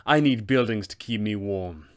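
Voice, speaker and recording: A man voicing a cold, scheming female wizard villain for a tabletop game, disdainful and measured. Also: lowish voice, pitched below the performer's own voice, lightly acted. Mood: contemptuous. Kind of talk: tabletop game character acting.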